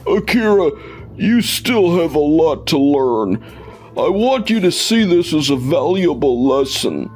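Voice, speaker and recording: Manga dub: authoritative father and company boss male actor, deliberate slow delivery, slightly high register, music underneath. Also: very animated, darkish tone. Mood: stern.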